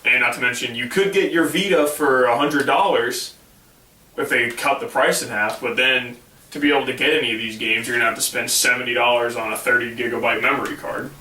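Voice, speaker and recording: A hoarse man with a bright tone delivers blunt, dismissive takes on a casual gaming podcast. He is a little off-mic in an echoey room. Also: mid-range voice, steady tone, fairly quick, distant mic. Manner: blunt and dismissive, reads news aloud. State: hoarse, losing his voice. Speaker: podcast co-host.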